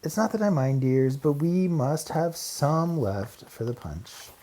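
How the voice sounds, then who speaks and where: A man's voice: fairly high pitch, expressive, takes it slowly, mellow, voice pushed up. A man voicing a motherly, bustling female mouse in a children's storybook, kindly, practical and a little fussing. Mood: gently chiding.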